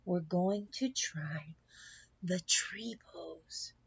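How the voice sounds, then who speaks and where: Woman as a patient, slow-talking yoga auntie. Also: lowish voice, creaky, slightly rough, dry recording, lo-fi sound, exaggerated voice. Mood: announcing. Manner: measured, gentle instruction. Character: patient, encouraging auntie who teaches children yoga.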